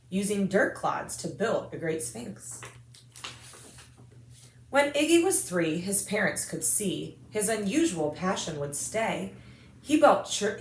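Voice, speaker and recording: An American woman reading a rhyming children's picture book aloud in an expressive, singsong rhythm. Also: medium pitch. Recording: echoey room.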